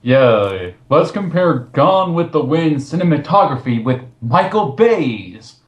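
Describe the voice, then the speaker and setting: A man's voice: on the high side, big pitch swings, slow, lo-fi sound. A man co-hosting a film commentary, mocking and sarcastic as he reads and reacts casually. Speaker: co-host of a film commentary show. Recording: roomy, echoey voice recording.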